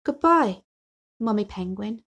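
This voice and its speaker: A woman voicing an excitable little boy penguin in a children's storybook, in an expressive way. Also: mid-range voice, takes it slowly, full character voice. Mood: wistful.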